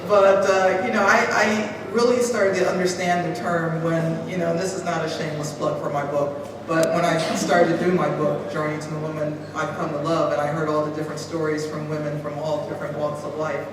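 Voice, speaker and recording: A woman's voice: deep voice, flat delivery, brisk, few pauses. Joking American woman in an echoey hall. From United States. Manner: chatty, joking, laughs at her own lines. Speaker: author on a conference panel. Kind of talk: casual conference talk. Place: large conference hall. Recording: echoey hall, distant, noisy audience.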